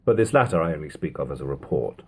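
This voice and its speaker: A man reading an old historical narrative aloud in a measured, expressive way, as an audiobook reader. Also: a bass voice, husky. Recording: lo-fi amateur home recording.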